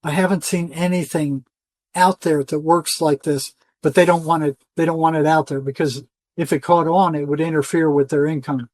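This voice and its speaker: A man's voice: a little high, fairly even intonation, normal speed, darkish tone, dry recording. An older man talking as an interview guest in an insistent, emphatic way, repeating phrases for stress. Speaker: interview guest, retired psychotherapist. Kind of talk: conversational interview monologue.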